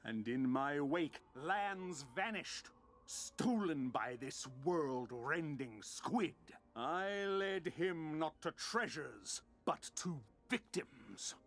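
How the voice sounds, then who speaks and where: Video game adventurer, male: vain, grandiose, high-set voice, measured dramatic pacing. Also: fairly bright tone, full character voice. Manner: theatrical, grandiose declamation. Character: bombastic, dramatic adventurer man, vain and vengeful. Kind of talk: video game character acting.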